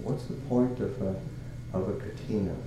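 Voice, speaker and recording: Older monk from Canada, low voice, halting delivery full of fillers, echoey noisy room. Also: male voice, fairly even intonation. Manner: halting, many fillers and restarts, chuckles. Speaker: Buddhist monk. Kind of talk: dharma talk.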